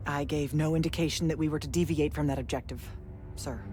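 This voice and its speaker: An actress playing a dutiful field commander in a game cutscene, formal and clipped in delivery. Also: female voice, low voice, steady tone, fast talker, over music, lightly acted. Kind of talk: dramatic video game cutscene acting.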